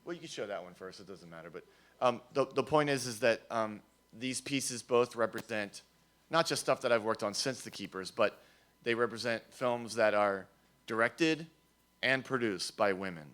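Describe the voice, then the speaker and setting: A man's voice: mid-range voice, normal speed, a bit bright. A man speaking live to an audience about his film work, hesitant and full of restarts. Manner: hesitant, with many restarts and fillers. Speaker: guest filmmaker at a screening talk.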